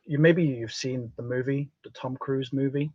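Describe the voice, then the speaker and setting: A man's voice: mid-range voice, steady tone, normal speed, no room sound, dull audio. A man explaining things casually as a panelist on a talk show.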